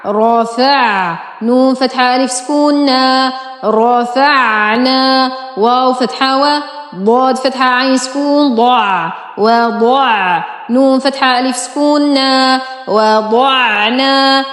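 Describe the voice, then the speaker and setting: A woman teacher reciting a spelling drill, sounding out each syllable deliberately with drawn-out enunciation. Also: high-pitched, a bit bright, echoey room, big-room sound, soft background music. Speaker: Quran reading teacher. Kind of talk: slow spelling-out Arabic phonics drill.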